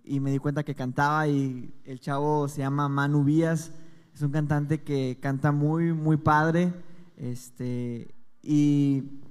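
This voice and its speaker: Man, Mexican accent, guest singer, smooth tone, soft-spoken, measured, echoey hall. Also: a little high. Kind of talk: interview answers.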